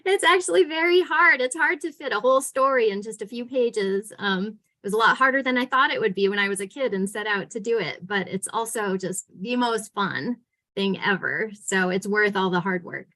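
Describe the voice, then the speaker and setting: A woman's voice: medium pitch, normal speed, sharp tone. A woman who writes children's books, answering questions casually in a chatty, emphatic way. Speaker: children's author and illustrator.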